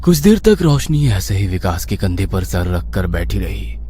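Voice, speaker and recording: A man's voice: sits low, big pitch swings, normal speed, dark tone, a little hiss or hum. A man narrating a horror story in a theatrical, suspenseful manner.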